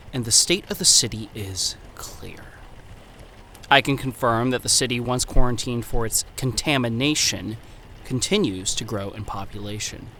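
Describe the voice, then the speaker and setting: Casting: male actor as wary young 1930s anthropologist, formal and precise, sharp forward tone, horror podcast letters, noisy backdrop. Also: lowish voice. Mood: uneasy, measured. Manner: formal, precise, measured diction. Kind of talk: scripted horror audio drama, letter narration.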